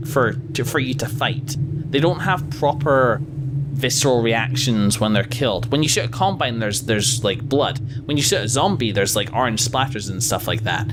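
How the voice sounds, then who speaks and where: A man at a middle pitch, his voice going hoarse, talks through his verdict on a game in a loose, halting way, often restarting his sentences. The recording carries a clear noise floor, with traffic rumble and the odd siren from outside. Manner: hesitant, restarts phrases, sighs. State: losing his voice. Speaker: let's play gamer. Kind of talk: casual critical game review.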